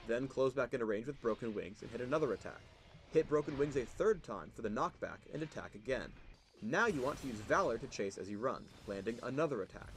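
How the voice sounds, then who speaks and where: A man's voice: medium pitch, lively intonation, brisk, slight background noise. A man presenting a game spotlight in scripted, instructional tutorial narration. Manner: instructional, explanatory.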